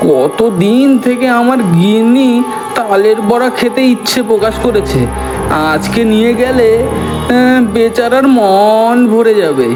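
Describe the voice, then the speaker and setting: A jittery village husband, played by a man, with a very high voice, fretting and placating, coaxing himself past his fear. Faint music sits under the cartoon dialogue. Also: unhurried. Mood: nervous. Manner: nervous, placating, talks himself into courage.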